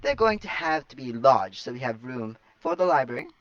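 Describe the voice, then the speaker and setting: A man's voice: medium pitch, sing-song, a little fast, no room sound, lightly acted. A man reading a stern, exacting teenage schoolgirl with a formal, officious manner, as in a storybook. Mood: insistent. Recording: distant mic, dull lo-fi sound.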